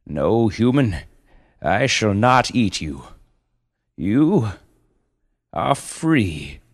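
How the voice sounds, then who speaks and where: A man voicing a giant male panda leader in a storybook tale, speaking in a slow, stately and grandiose way. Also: medium pitch, sing-song, voice pushed up, exaggerated voice. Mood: solemn, measured.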